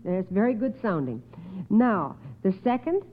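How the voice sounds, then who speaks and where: A woman's voice: mid-range voice, very animated, a little slow, noisy background. A woman hosting a 1950s radio quiz show in an encouraging manner. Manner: encouraging, affirming answers. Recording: tinny, band-limited 1950s radio recording.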